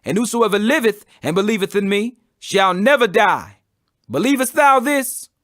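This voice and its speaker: A man's voice: high voice, animated, moderate pace, a bit bright, no room sound, clean studio sound, pitched above the performer's own voice. A man voicing a fervent, authoritative holy teacher with commanding declamation in a dramatized scripture reading.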